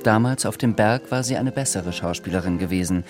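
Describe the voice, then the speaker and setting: Low-pitched male narrator over music. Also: brisk. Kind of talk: scripted literary narration for radio drama.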